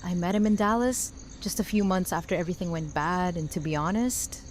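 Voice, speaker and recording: A woman's voice: on the low side, fairly quick, a little hiss or hum, close to an everyday voice. A woman playing a frank, heartfelt friend in an audio drama, speaking plainly and earnestly. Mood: frank, fond. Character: Rosita, adult woman friend giving heartfelt, frank wedding toast. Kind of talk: fan audio drama acting.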